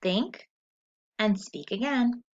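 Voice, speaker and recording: A woman teacher reading a story aloud in an expressive, deliberate, instructional way, as for a lesson with questions. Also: mid-range voice. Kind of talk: scripted story read-aloud with comprehension questions. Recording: dull lo-fi recording.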